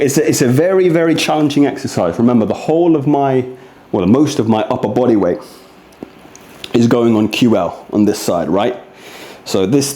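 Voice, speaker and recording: Male fitness vlogger, mid-pitched relaxed voice, earnest and conversational, recorded in a roomy, echoing space. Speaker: fitness vlogger demonstrating rehab exercises. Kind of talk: casual exercise demonstration with candid reflection. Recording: echoey, roomy sound.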